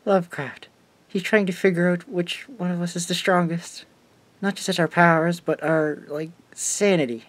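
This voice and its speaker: A man's voice: high voice, fairly even intonation, moderate pace, fairly bright tone, no echo. A man playing a paranoid, intense teenage boy in an audio drama, with a cynical, conspiratorial manner.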